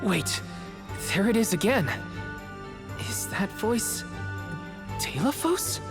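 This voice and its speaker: An actor playing an earnest, dutiful young adventurer in a video game, speaking plainly. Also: male voice, high-pitched, sing-song, slow, loud music behind the voice, full character voice. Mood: troubled.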